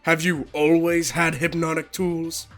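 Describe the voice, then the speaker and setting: A man's voice: fairly high pitch, little pitch movement, measured pace, fairly bright tone, soft background music. A man playing a ferocious, iron-willed monster villain in a comic dub, with a formal, scornful manner. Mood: scornful, suspicious.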